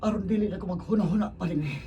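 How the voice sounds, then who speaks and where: An actor playing an earnest, apologetic man in a radio drama, speaking in a hushed, halting way with a Filipino accent. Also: high voice, dull audio. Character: earnest, apologetic man gently turning down an admirer. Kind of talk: Cebuano radio drama acting. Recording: distant mic, background ambience noise.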